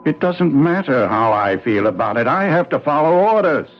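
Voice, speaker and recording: A muffled old radio drama gives us a pragmatic admiral. His voice is a little high and moves widely in pitch, with curt, authoritative delivery. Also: very animated, normal speed, soft background music. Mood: resigned authority. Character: brisk, pragmatic male admiral bound by orders. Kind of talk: 1970s radio drama acting.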